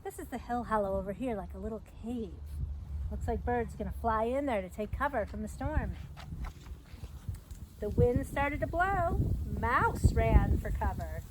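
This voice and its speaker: A middle-aged woman reads a picture book aloud to young children in an expressive, sing-song storytelling manner with chatty asides. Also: on the high side, unhurried. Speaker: park educator hosting a children's storytime. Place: outdoors by a fence at a trailhead. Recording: outdoor recording with background noise.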